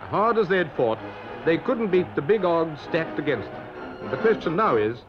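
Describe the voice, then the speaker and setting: A British man narrates a 1950s newsreel in a slightly high voice. He reads as a scripted reporter, with clear music behind him on a dull, vintage soundtrack. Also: big pitch swings, normal speed.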